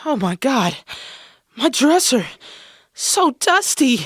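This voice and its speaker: A man acting as a flighty procrastinating writer in sketch comedy. His voice sits very high and swings widely, sounding dithering and distracted. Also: big pitch swings, slow, a bit bright, husky, dry recording, clean studio sound, exaggerated voice.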